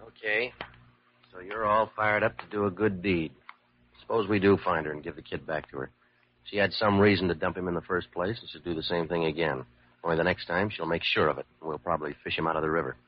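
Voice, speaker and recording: A man's voice: sits low, steady tone, fast. A man acting a cynical, world-weary police lieutenant in a classic radio drama, blunt and slangy. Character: cynical, hard-boiled male police lieutenant. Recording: muffled old radio recording.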